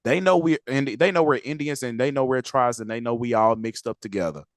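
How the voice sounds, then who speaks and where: A man's voice: medium pitch, brisk, dry recording, clean studio sound. A man hosting a casual podcast chat, blunt and preachy, swearing freely. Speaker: channel host.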